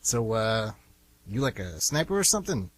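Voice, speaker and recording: A man's voice: lowish voice, very animated, moderate pace. An actor playing an uneasy, curious young male friend in a radio drama, hesitant and slangy. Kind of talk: amateur radio drama acting.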